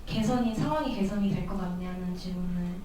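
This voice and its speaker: Quick-talking woman interpreter. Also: on the low side, warm tone, a little hiss or hum. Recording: echoey hall from far off.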